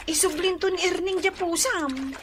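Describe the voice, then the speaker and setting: An actress playing an excitable, exclaiming daughter in an Ilocano radio drama. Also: female voice, very high-pitched, normal speed, a little hiss or hum. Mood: complaining.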